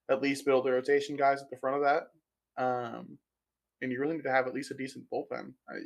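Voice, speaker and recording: A man with a hoarse, gravelly voice from a sore throat, talking through baseball analysis as a podcast guest with dry humor. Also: mid-range voice. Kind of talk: casual podcast chat.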